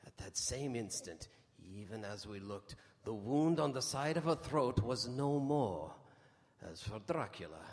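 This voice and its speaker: Grave vampire hunter telling his report, deliberate and dramatic, medium pitch, big hall reverb. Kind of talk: dramatic first-person radio narration. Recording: live hall with long reverb.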